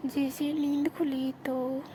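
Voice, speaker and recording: A woman acts out a lovesick young sister-in-law on a secret night call. Her voice is high, soft and breathy, with a plaintive, pleading way of talking. The phone recording has clear background noise. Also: flat delivery, slow pace. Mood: wistful, longing. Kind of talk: intimate staged phone-call acting in casual Hindi.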